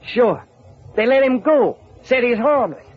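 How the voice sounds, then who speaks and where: An actor playing a weary, skeptical city police detective in an old radio crime drama, brisk and colloquial. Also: male voice, very high-pitched, animated, husky, heavily acted. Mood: resigned. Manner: brisk, colloquial, matter-of-fact. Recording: muffled vintage radio recording.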